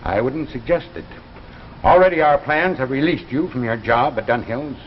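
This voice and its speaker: An actor playing a firm, persuasive recruiter for a secret space project in a 1950s science fiction drama, measured, grave and insistent. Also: male voice, medium pitch, expressive, a little hiss or hum, close to an everyday voice. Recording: echoing, dull vintage 1950s broadcast, faint music.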